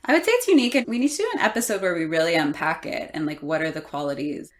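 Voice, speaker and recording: A woman, speaking casually as the co-host of an interview podcast. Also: on the low side.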